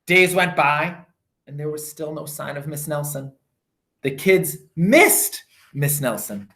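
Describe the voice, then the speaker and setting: A man reading a storybook aloud for children, with theatrical emphasis and drawn-out words. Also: on the high side, expressive, bright, forceful tone.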